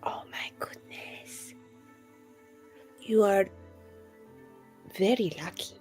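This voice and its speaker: A woman roleplaying conversationally in a tabletop game, speaking in short, halting, questioning phrases. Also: medium pitch, darkish tone, in a whisper. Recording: background music.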